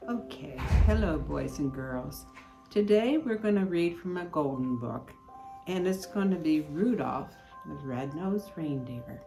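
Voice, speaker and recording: An older woman reading a children's storybook aloud in an expressive, unhurried way. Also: low-pitched, vocal fry, low-quality recording. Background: music.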